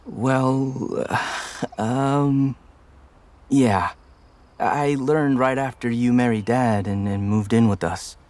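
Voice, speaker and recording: A man's voice: mid-range voice, takes it slowly, a little mellow, natural voice. A male actor playing a sheepish, earnest groom and young father in a motion comic, speaking hesitantly. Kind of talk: scripted cartoon dialogue.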